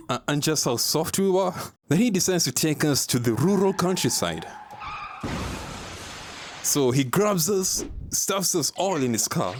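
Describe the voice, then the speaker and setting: A man's voice: mid-range voice, slight background noise. A young man from Kenya, telling a comedic scripted story in an informal, dramatic way. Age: young adult. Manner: animated, informal, dramatic pauses for emphasis. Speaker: storyteller, video host.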